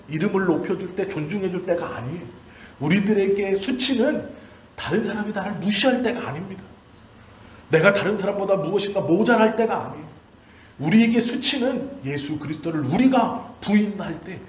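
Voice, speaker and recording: Man preaching in an echoey room. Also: high-pitched. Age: 40s. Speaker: pastor. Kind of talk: sermon. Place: church hall during a service. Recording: roomy church echo, faint background noise.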